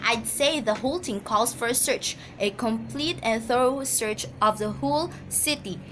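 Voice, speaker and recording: A woman's voice: on the high side, moderate pace, a bit bright, faint music behind, close to an everyday voice. A woman acting an anxious, demanding bedridden wife in an amateur radio drama, insistent and agitated. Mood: anxious insistence. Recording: noisy amateur home recording.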